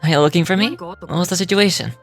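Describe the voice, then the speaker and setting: A woman's voice: on the low side, fairly quick, faint music behind, voice pushed down, lightly acted. A woman voicing a playful, shrewd young male street magician in a video game, with a teasing, theatrical, self-assured manner. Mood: playful, confident. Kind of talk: video game character dubbing.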